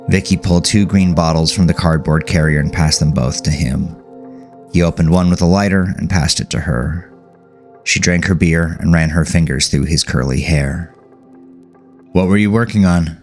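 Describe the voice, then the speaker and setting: A man narrating dramatic horror fiction as a scripted audiobook, with a gravelly, creaky voice. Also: a bass voice.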